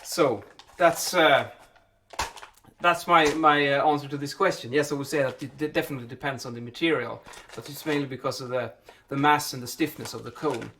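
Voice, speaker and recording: A man's voice: mid-range voice, a little fast, bright, forceful tone, a little hiss or hum. A man giving an informal explainer to camera, thinking aloud with repeated words and self-corrections. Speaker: vlog host and building acoustics specialist answering a question. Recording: voice distant from the microphone.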